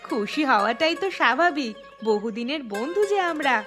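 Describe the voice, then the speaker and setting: A woman's voice: high voice, lively intonation, brisk. A woman playing a friendly, chatty village wife in a cartoon. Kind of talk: Bengali cartoon dialogue. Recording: music behind the voice.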